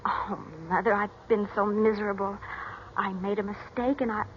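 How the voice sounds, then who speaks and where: An actress playing a spoiled, scheming teenage daughter in 1940s radio drama style. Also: female voice, mid-range voice, fairly even intonation, moderate pace, lightly acted. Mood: plaintive. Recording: dull, noisy 1940s radio recording.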